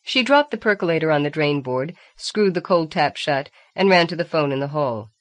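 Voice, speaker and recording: A woman's voice: sits low, animated, moderate pace. A woman reading a novel aloud as scripted audiobook narration.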